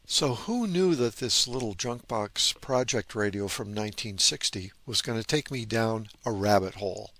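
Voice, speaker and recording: A man's voice: fairly low pitch, expressive, moderate pace, few pauses, fairly bright tone, no room sound. A man hosting a hobby channel, narrating informally with an enthusiast's interest and wry asides. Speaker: host of a vintage radio hobby channel. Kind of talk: informal narration on vintage radio gear.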